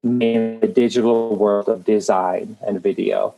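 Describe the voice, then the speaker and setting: A man's voice: on the low side, steady tone, moderate pace, vocal fry, warm tone, soft background music, low-quality recording. A man in his thirties from the Czech Republic with a Czech accent, a guest in an interview speaking in an earnest, encouraging way with frequent restarts. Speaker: guest, creative manager. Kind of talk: motivational interview talk.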